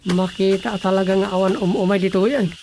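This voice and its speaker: A man's voice: sits high, steady tone, fairly quick, a little mellow, slight background noise. An actor playing a comic grown son with an Ilocano Filipino accent, helping his father in a radio drama comedy. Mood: casual.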